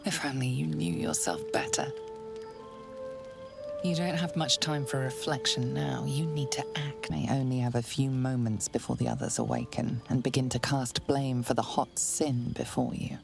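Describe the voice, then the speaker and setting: Gravelly hushed narrator lady, ominous game storyteller, music under her. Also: rumbling low. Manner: slow, intimate, second-person telling. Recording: game score behind.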